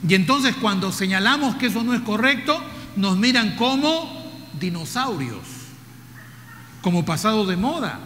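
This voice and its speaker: A Peruvian man in his fifties preaching a sermon, who asks the congregation rhetorical questions, repeats key phrases and now and then drops to a soft, low voice. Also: very animated, measured pace, slight background noise. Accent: Peruvian Spanish. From Peru. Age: 50s. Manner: jokes with the audience, drops to soft low tones for emphasis. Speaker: pastor. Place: church hall. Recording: reverberant church hall.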